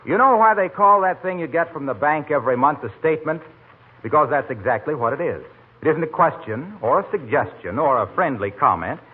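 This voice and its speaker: A man with an American accent announcing from a script in polished 1940s radio pitchman style. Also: lively intonation, fairly quick, slight background noise. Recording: tinny old-time radio recording.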